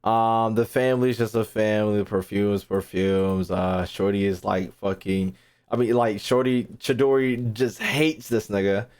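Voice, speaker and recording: A man's voice: lowish voice, fairly even intonation, measured pace, darkish tone, smooth. A man giving casual gaming-stream reaction commentary, slangy and profane, often repeating himself.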